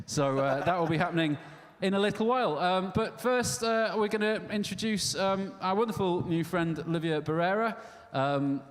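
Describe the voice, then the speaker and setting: A man hosting a live event, talking haltingly with lots of ums and wry jokes. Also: high-pitched. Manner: hesitant, many fillers, joking. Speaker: event host. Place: live venue stage with audience. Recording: long hall reverb.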